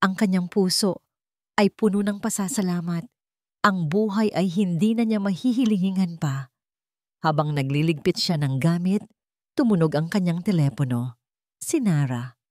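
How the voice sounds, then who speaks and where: A woman's voice: fairly low pitch, normal speed, darkish tone, no echo, clean studio sound. A woman narrator reading a scripted dramatic story.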